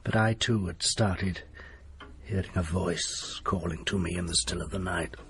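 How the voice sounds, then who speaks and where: Gravelly, frantic haunted doctor. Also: male voice, sits low, fairly even intonation, noisy background. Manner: pleading, theatrical, quick to rage. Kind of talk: period radio drama acting.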